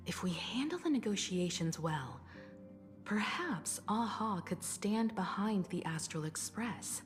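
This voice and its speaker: A woman voicing a composed, thoughtful female strategist in a video game, measured and articulate. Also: mid-range voice, big pitch swings, in a large hall, faint music behind. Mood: thoughtful, approving. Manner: measured, articulate, reasoning step by step. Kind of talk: video game character dialogue.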